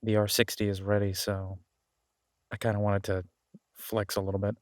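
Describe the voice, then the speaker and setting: A man's voice: fairly low pitch, little pitch movement, normal speed, a little mellow, no room sound, clean studio sound, close to an everyday voice. A man playing an easygoing, affectionate werewolf biker in an intimate audio drama, talking casually and hesitantly to a partner. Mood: sheepish, affectionate. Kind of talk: intimate roleplay audio drama, one-sided conversation.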